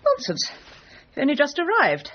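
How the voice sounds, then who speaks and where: A woman's voice: high-pitched, wildly swooping pitch, moderate pace, slight background noise. An actress playing a genteel, scatty, disapproving upper-class English mother in a period radio drama, middle-aged, airy and fussy. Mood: airy, bright. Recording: dull lo-fi radio copy.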